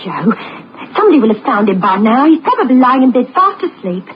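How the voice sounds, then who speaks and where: A worried British wife in an old radio play. Her voice is high and very animated, full of urgent pleading. The audio is dull with faint hiss. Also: quick pace. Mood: urgent, anxious. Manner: urgent, emotive.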